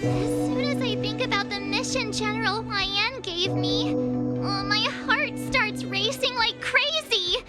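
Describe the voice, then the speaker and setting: A woman's voice: extremely high pitch, unhurried, music in the background. A woman voicing a timid, childlike young apprentice in a video game, fretful and nervous in manner. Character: anxious, childlike young apprentice on first mission. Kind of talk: video game cutscene acting.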